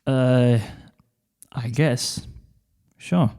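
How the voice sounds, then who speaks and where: Man as a cranky student, awkward, halting delivery, story character, low-key tone, unhurried. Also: warm tone, clean tone. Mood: guarded, awkward. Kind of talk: fan fiction story reading.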